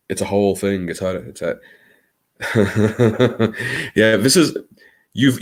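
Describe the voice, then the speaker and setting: A man with a fairly low voice hosts a casual live stream. He talks to viewers in a theatrical, playful way, dropping jokey asides and the odd swear word. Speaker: livestream host. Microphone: streaming microphone, not his usual video mic.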